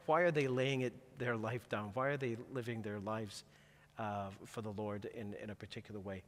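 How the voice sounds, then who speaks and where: A man speaking as a pastor who hosts a live conversational interview, with a halting delivery that often rephrases itself. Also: mid-range voice. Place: church, before the altar. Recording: long echoey reverb of a large hall.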